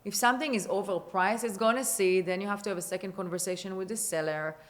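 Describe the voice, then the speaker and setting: A woman with an Israeli accent talks real estate in a relaxed podcast conversation. She is chatty and quick, often restarting her sentences and leaning on fillers. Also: mid-range voice. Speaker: real estate agent guest.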